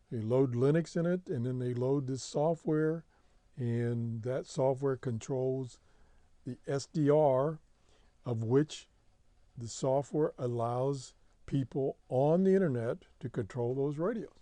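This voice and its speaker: Mellow American man explaining step by step. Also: mid-range voice, dry recording. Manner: plain-spoken, explanatory, step by step. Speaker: host of a shortwave radio hobby show. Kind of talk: casual screen-share tutorial.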